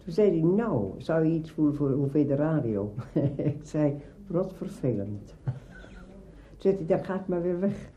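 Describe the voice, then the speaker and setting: High-voiced old man spinning theatrical anecdotes. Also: animated, moderate pace, warm tone, muffled recording, a little hiss or hum. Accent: Dutch from the Netherlands. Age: 80s. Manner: juicy, theatrical storytelling with acted-out dialogue. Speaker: veteran radio drama actor being interviewed. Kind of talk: conversational anecdote telling.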